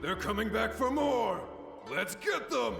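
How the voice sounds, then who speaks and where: A man's voice: high-pitched, animated, measured pace, creaky, fairly bright tone, full character voice. A man voicing a hopeful male samurai soldier who rallies his comrades in a rousing, formal way in a game. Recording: roomy game audio with faint music.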